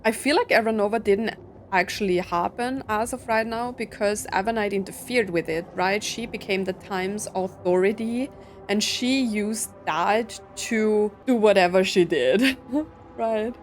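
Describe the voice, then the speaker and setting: A woman's voice: mid-range voice, unhurried, slight background noise. A woman doing casual let's play commentary, slangy and puzzled as she thinks aloud. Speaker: streamer reacting to a game story. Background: faint game music and dialogue.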